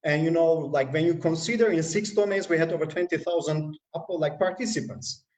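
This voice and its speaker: A man's voice: on the high side, fairly quick, a little mellow, roomy sound, recorded from a distance. A man speaking as a community manager in a live-stream conversation, with many fillers and restarts. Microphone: video call.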